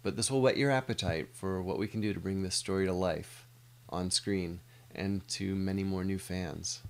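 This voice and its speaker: A man's voice: deep voice, fairly even intonation, normal speed, slight background noise. A middle-aged American man, an animator, giving a scripted presentation of a project.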